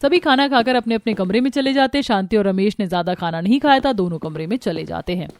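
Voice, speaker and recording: A woman's voice: medium pitch, fast, no room sound. A woman reading scripted narration for a family cartoon story.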